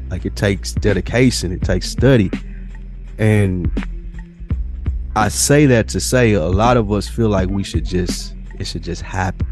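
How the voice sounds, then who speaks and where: A man's voice: fairly low pitch, darkish tone. Earnest American man, music behind him. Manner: earnest, motivational, slangy street-casual phrasing. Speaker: podcast co-host. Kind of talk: casual podcast conversation.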